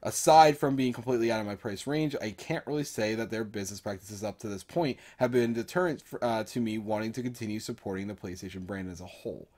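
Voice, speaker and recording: A man's voice: fairly low pitch, fast, hardly any pauses, a little mellow. A man co-hosting a casual gaming podcast, talking in a hedging, repetitive way as he argues his points. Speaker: podcast co-host.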